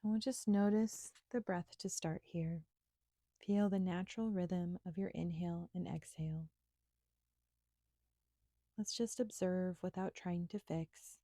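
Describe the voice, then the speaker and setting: A woman instructor leading a guided meditation in a gentle, soothing, unhurried manner with pauses. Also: on the low side, steady tone, dry recording, studio quality.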